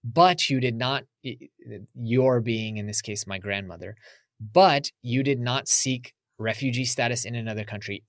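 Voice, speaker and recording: A man's voice: medium pitch, a bit bright, dry recording. A man from the United States, a podcast guest, talking casually and reflectively in an interview.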